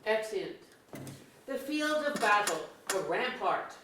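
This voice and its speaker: A woman's voice: mid-range voice, big pitch swings, slow pace. A woman reading out scripted stage directions at a staged play reading. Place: indoor auditorium stage. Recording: distant, echoey, noisy auditorium.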